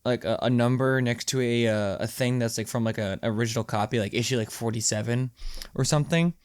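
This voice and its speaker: A man's voice: medium pitch, quick pace, clear, resonant tone, no room sound. Man chatting casually on a podcast, dry sound. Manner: rambling, repeats words and phrases for emphasis. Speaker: podcast co-host. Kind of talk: casual podcast chat about movies.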